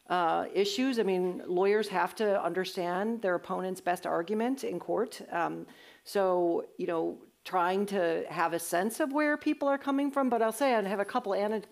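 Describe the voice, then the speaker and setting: A woman's voice: on the low side, vocal fry, a little mellow. Earnest woman panelist. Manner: earnest, conversational, frequent restarts. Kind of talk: panel discussion answering audience.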